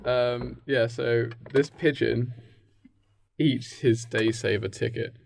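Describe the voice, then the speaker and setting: A man co-hosting a podcast, chatting casually and pitching ideas in a hesitant, halting way. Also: steady tone, gravelly, darkish tone, a little hiss or hum. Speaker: podcast co-host. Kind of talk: casual brainstorming chat.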